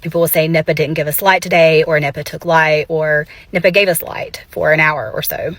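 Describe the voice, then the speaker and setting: A woman's voice: low voice, steady tone, fairly quick, a bit bright, no echo. A woman giving a thoughtful, reflective monologue with hesitant ums.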